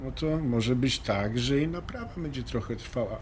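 Man acting as patient husband, medium pitch, lively intonation, colloquial, radio drama, faint noise. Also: normal speed, lightly acted. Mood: reassuring. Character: Krzysztof, patient, reassuring husband in couples therapy. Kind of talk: audio drama dialogue.

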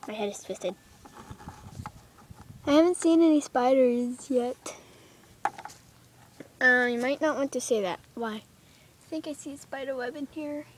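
A young girl playing out a toy pet adventure with excited squeals and put-on voices, filmed on a phone outdoors. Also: high voice, unhurried, pauses often. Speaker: toy video maker voicing pets. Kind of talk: playful toy play acting. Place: backyard playground outdoors. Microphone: handheld phone camera. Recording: phone audio with background noise.

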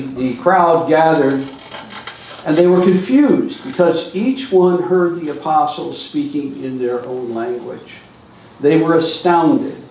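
A man's voice: on the high side, a little mellow, distant mic, a little hiss or hum. Older man telling stories in an echoey hall. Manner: storytelling, stands at a lectern and gestures. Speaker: seminar speaker. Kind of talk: church seminar talk. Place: church hall with large windows.

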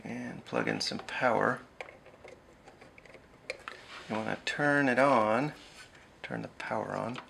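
A middle-aged man with a gravelly voice giving a casual, halting hands-on demonstration at an indoor desk. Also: mid-range voice. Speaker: amateur radio hobbyist demonstrating gear. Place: small indoor radio desk. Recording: steady noisy background hiss and ambience.

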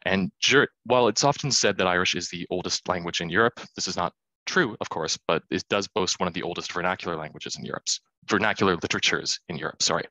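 A man in his thirties giving an academic conference talk over a video call, quick and self-deprecating with many fillers. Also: low-pitched, bright, forceful tone, dry recording, low-quality recording. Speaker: conference speaker. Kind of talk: academic presentation.

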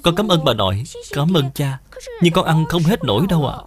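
A performer voicing a polite, dutiful little boy in an animated moral tale, speaking respectfully and earnestly. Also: mid-range voice, fairly quick, lightly acted. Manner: respectful, earnest, carefully explaining.

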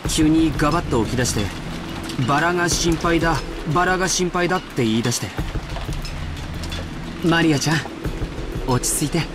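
A man's voice: on the high side, very fast, long pauses, over music, close to an everyday voice. An actor voicing an earnest, polite, mild-mannered young man in a Japanese visual novel. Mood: anxious soothing.

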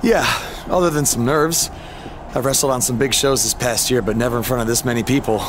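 A man's voice: mid-range voice, fairly even intonation, fairly quick, lightly acted. A man playing an earnest young underdog wrestler in a video game story. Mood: nervous, earnest. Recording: noisy arena and backstage ambience.

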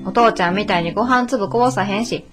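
An actress playing a stubborn, resolute young adult daughter in a radio drama, blunt and matter-of-fact, with a Kansai accent. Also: female voice, mid-range voice, fast talker, fairly bright tone, soft background music, lightly acted. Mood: calm, firm.

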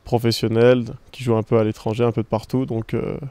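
A young male footballer from France interviewed outdoors among trees, speaking calmly into a handheld microphone with many hesitant fillers. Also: mid-range voice, a little fast, smooth, no room sound. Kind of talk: post-match style interview. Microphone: handheld microphone held by interviewer.

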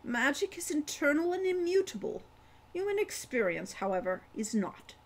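A woman voicing a scornful, aloof, non-human female shopkeeper of magic in a storybook, condescending and measured. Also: sits high, sing-song, voice pushed up. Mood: scornful, bored.

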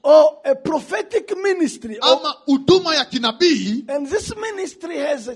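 A middle-aged man preaching emphatically into a handheld mic on a conference hall stage, pausing for an interpreter and calling for responses. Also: very high voice, lively intonation. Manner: emphatic, rising to shouts, calls for responses. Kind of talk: shouted sermon with live interpretation. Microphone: handheld microphone.

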